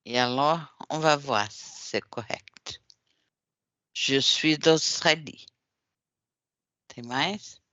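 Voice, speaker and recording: A man's voice: mid-range voice, very slow, pauses often, bright, forceful tone, no room sound. A Brazilian man whose first language is Portuguese, teaching a French class and patiently correcting and repeating his students' answers over an online call. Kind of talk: language class drilling questions.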